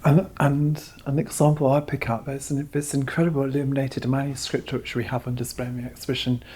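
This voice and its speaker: A man's voice: mid-range voice, level tone, fairly quick, darkish tone, husky. A man speaking as a curator in an interview, giving long, discursive explanations. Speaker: exhibition curator, interview guest.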